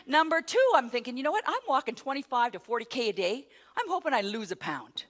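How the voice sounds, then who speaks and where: Animated woman telling a comic travel story. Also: high voice, fast. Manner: animated, self-mocking, rising to loud exclamations. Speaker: motivational speaker. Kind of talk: storytelling keynote talk. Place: before a live audience.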